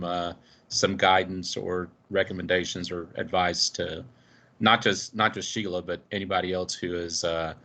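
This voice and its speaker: A man moderating a conference call off the cuff, halting and searching for his words. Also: deep voice, bright, forceful tone. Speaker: meeting moderator.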